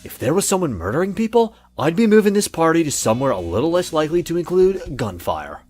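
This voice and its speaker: A man's voice: on the high side, sing-song, fast, a little mellow, faint music behind. A man voicing an irritated, dim young party bro in a visual novel, slangy, whiny and dismissive. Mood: annoyed.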